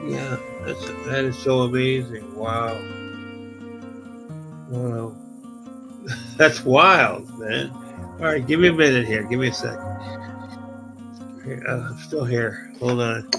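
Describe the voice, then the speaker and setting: Casting: Canadian-accented male stream host, slow, gravelly, going hoarse, excitable rambler. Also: medium pitch, music in the background. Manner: rambling, excitable, exclaims often. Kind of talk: casual live stream chat.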